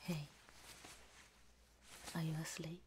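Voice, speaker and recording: A woman plays a kindly head scientist who whispers every word, low and unhurried. Her tone is mellow and tender, soothing a listener toward sleep in an audio roleplay. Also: flat delivery, a little hiss or hum. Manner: soft, tender, reassuring. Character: gentle caring female head scientist, middle-aged, soothing. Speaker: institute director in an audio roleplay. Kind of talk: ASMR roleplay.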